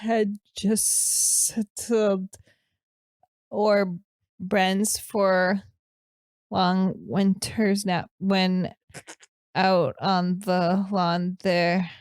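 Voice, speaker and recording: Podcast comedy role: flustered, dim young male student, played by a woman, painfully slow halting delivery, awkward strained voice, very dry sound. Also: medium pitch, little pitch movement, darkish tone, no echo. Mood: halting, earnest. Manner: halting read-aloud, stumbling over and mangling words. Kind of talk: improvised comedy podcast character.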